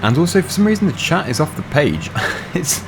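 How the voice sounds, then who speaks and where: A young man, slightly hoarse, chatting casually through a game while thinking aloud with fillers. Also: fairly high pitch, very animated, fairly quick, noisy background. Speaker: gaming channel host. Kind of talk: casual gameplay commentary.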